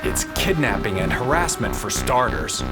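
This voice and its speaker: An anguished, bitter husband in a radio drama. His pitch swings dramatically as he speaks, agitated and protective, over loud music. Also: sing-song, moderate pace. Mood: alarmed, indignant. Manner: agitated, embittered. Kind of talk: audio drama acting.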